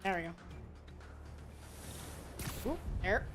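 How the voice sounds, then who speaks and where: A young woman streaming a game, chatting casually with viewers, excitable and swearing freely as she plays. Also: slow pace, music in the background. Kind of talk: casual gameplay commentary.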